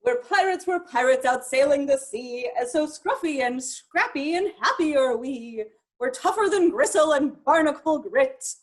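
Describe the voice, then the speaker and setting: Woman singing as rowdy, high-voiced pirate crew. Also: expressive, unhurried. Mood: jolly boasting. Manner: sing-song boasting with a high final whoop.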